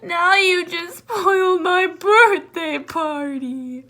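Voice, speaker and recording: A woman doing a squeaky, teary moose. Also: slow, pushed and bright, exaggerated voice. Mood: sobbing. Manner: very high, sobbing and giggling. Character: cheerful easygoing male moose.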